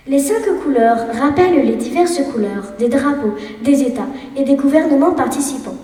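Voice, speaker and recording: A woman's voice: sits high, warm tone. Far-off girl's speech in an echoey hall. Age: child of 11. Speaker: student speaker in a speech contest. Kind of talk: recited contest speech. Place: school hall.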